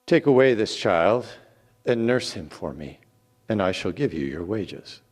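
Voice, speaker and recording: A man voicing a regal young princess in a dramatic scripture reading, imperious and measured. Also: on the low side, big pitch swings, a little mellow, husky, exaggerated voice. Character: Pharaoh's daughter, regal young Egyptian woman.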